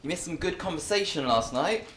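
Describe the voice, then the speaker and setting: Earnest, defensive boyfriend in romantic drama. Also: male voice, a little high, lively intonation, a little fast, a bit bright, slight background noise. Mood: quietly defensive. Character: earnest, defensive man in a bickering romantic couple. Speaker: actor. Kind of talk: naturalistic stage drama dialogue.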